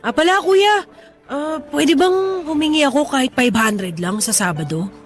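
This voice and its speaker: A woman's voice: high-pitched, animated, normal speed, soft background music. A woman playing a blunt, joking tomboyish young mechanic in a radio drama. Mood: confiding.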